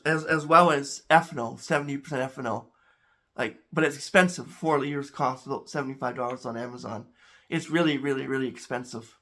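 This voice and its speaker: A man hosting a home chemistry channel talks casually to camera, a little congested, halting with many restarts and repeated words. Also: medium pitch, pushed and bright.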